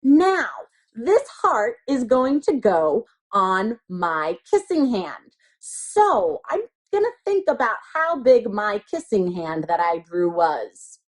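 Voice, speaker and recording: A woman's voice: on the high side, very animated, slow. A woman teacher giving step-by-step craft instructions to young children, speaking simply and encouragingly with repeated reminders. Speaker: elementary school teacher.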